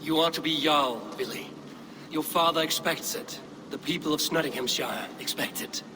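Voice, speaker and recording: An actor playing a steady Viking clan leader with a dry, wry and firm manner. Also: male voice, on the high side, normal speed, natural voice. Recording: game cutscene with ambient noise.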